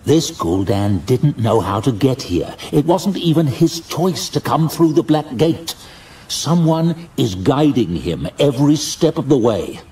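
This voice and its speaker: A male narrator voicing a wry, determined older male archmage who stays calm under pressure. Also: mid-range voice, unhurried, distant mic, lightly acted. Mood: grave, urgent. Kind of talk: audiobook character voice.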